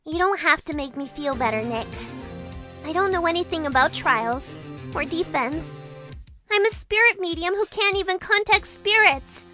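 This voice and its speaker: A woman plays a young spirit medium with a very high, girlish voice, unsure of herself. She is voiced for a fan audio drama, with clear music playing behind her. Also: animated, normal speed. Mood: insecure. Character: young female spirit medium, cheerful but insecure. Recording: voice over background music.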